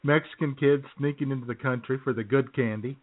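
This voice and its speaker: A man's voice: mid-range voice, fairly even intonation, brisk, phone-quality sound. A man co-hosting a radio show in casual comedic banter, with a dry, joking manner.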